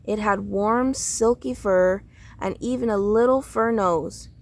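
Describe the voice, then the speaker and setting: A woman reading a children's story aloud in a deliberate, expressive storytelling way. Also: mid-range voice. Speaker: storybook reader.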